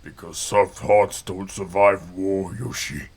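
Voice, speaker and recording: A man playing an aggressive, ruthless young warrior with a gravelly, raspy voice in an audio drama. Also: sits low, steady tone, takes it slowly, full character voice. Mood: cold defiance.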